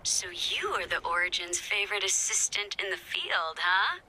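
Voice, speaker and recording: A woman's voice: mid-range voice, expressive, moderate pace, pushed and bright, a little hiss or hum, rough recording. A woman voicing a flippant, impatient resistance member in a video game, with sarcastic, chatty asides. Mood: breezy, bossy. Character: flippant, impatient female resistance member scheming behind her leader's back. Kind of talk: animated video game voice acting.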